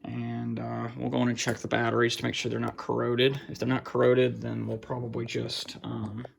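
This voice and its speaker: Guy casually showing his collection, kinda mumbly and halting, lots of vocal fry, bit of background noise and clicks. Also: mid-range voice, monotone. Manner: halting, muttering to himself while handling gear. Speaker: hobbyist collector presenting weather radios. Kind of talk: casual off-the-cuff show-and-tell. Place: indoors, small cluttered room at home. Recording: faint background noise, clicks and handling sounds.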